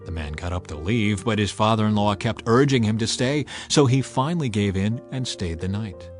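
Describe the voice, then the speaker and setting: A man narrating a dramatized scripture audiobook with measured, dramatic storytelling and emphatic swells. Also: fairly low pitch, expressive. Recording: music bed under the voice.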